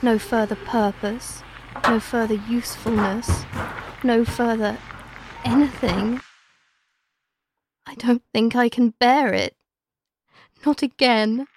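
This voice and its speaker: A woman's voice: fairly high pitch, fairly even intonation, a little hiss or hum, low-quality recording. A woman playing an anguished, guilt-ridden ghost, speaking solemn, formal monologue in an audio drama. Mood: despairing. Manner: solemn, deliberate, old-fashioned literary phrasing.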